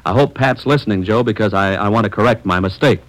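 A man working as an old-time radio announcer, delivering a folksy, emphatic sales-style pitch. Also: fast, poor audio.

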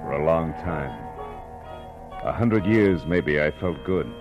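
Casting: low-voiced, cynical American gumshoe, clipped and wry, old radio crime drama with music. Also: male voice, animated, takes it slowly, dull audio, heavily acted. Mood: dazed, dreamy narration. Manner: clipped, wry, hardboiled delivery. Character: tough, cynical hardboiled male private detective.

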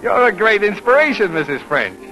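Male secretary, old-time radio comedy: teasing, wisecracking, very animated delivery, sly charm, music behind, vintage sound. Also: high-pitched, a little fast, exaggerated voice, rough recording. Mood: teasing, flirtatious. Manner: teasing, sardonic, hesitating for effect. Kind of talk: 1940s-50s radio comedy acting.

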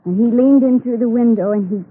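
A woman playing a troubled, defiant killer in a 1950s radio drama, halting and bitter. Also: on the high side, breathy edge. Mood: bitter, dazed. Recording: muffled narrow-band vintage radio recording.

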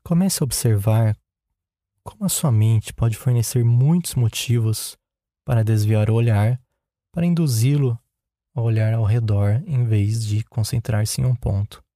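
Dark, mellow Brazilian man guiding meditation. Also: medium pitch, no room sound, studio quality. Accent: Brazilian Portuguese. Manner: calm, unhurried, instructional. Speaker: meditation guide. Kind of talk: guided meditation narration.

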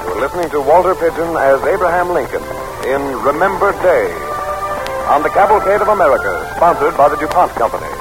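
A man announcing in a formal, measured, old-time radio style. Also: on the high side, big pitch swings, low-quality recording. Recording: vintage radio broadcast. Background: loud music.

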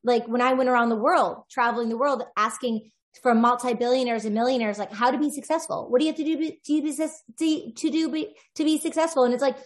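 A woman's voice: high-pitched, fairly even intonation, fast talker. A woman voiceover artist, a podcast guest, talking in a reflective, rambling way with many restarts. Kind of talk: casual conversational interview.

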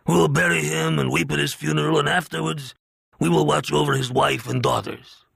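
A man voicing an aging crime boss with a slight Italian accent, measured, grave and paternal. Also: a little high, steady tone, gravelly, fairly bright tone, breathy edge, no room sound, voice pushed up, full character voice. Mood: solemn.

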